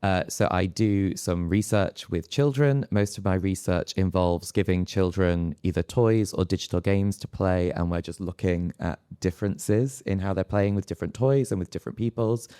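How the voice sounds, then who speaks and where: A male lecturer gives an informal answer on a panel. His voice is deep, dark and very clean and smooth. The recording is dry and close. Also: normal speed, hardly any pauses, no echo. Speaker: senior lecturer in psychology on a panel.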